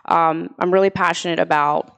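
A woman in her thirties from Pennsylvania, a podcast guest. She talks in an upbeat, eager way and laughs easily. Her voice sits slightly low. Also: fairly even intonation, moderate pace, creaky, a bit bright. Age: 30s. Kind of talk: casual chat.

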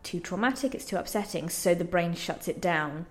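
A woman's voice: fairly low pitch, animated, normal speed, soft background music. A woman speaking earnestly and reflectively as a playwright being interviewed in conversation on the radio.

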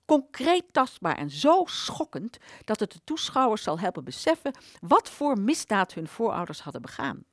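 A woman in a Dutch radio play voicing an aborted idea, a bitter vanished thought, telling its tale dramatically with slow emphases. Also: medium pitch, no room sound, clean studio sound. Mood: grand, fervent.

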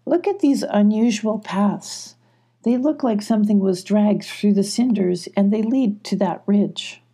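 Woman playing a measured, husky boy adventurer. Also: medium pitch, dark tone. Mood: reassuring.

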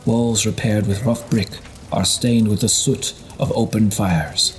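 A man reading a historical novel as scripted narration, in a measured storytelling delivery. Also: lowish voice, fairly even intonation, slight background noise, rough recording. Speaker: audiobook narrator.

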